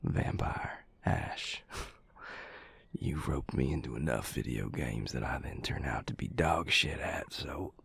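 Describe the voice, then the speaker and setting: A man acting a grumbling but fond male vampire in an intimate audio roleplay, dry, sardonic and husky. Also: a bit bright, dry recording, whispered, full character voice. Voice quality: low, gravelly, husky. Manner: dry, sardonic, slow and profane.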